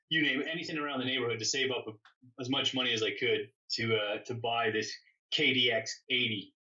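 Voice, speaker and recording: A man chats casually in a bright, forceful voice, often doubling back on his words. He sounds a little far from the microphone, and the recording is somewhat dull. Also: medium pitch. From born in England, grew up in Canada. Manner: rambling, self-correcting, self-deprecating. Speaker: interview guest, custom motorcycle builder. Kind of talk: casual conversational storytelling.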